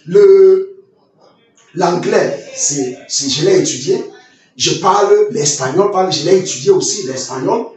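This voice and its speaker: A man from Nigeria delivers a Sunday school lesson like a sermon, with a fairly high voice. He picks his words with many repeats and restarts, slowing down for scripture. He sounds heavily echoey and set back from the mic. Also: a little mellow, recorded from a distance, faint music behind. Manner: repeats phrases and restarts, quotes scripture slowly. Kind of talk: sermon-style Bible lesson with personal testimony.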